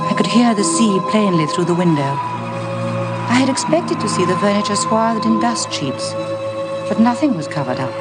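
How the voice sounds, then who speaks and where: An actress playing a timid, naive young bride, earnest and emotional, in a 1950s radio drama. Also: female voice, on the low side, close to an everyday voice. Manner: earnest, hesitant, breathlessly emotional. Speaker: lead actress and narrator of a radio play. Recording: vintage radio broadcast with orchestral music.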